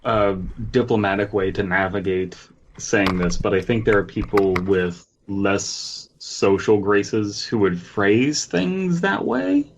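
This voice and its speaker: A man's voice: fairly low pitch, very animated, takes it slowly, hardly any pauses, muffled recording, a little hiss or hum. A man co-hosting a podcast, chatting casually and reading stories aloud, with crude, profane humor. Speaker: podcast co-host.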